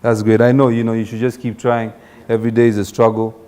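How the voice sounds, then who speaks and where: A man's voice: medium pitch, flat delivery, fast talker, warm tone, clean tone. A man hosting a conversational talk show interview, informal, asking follow-up questions and dropping in encouraging interjections.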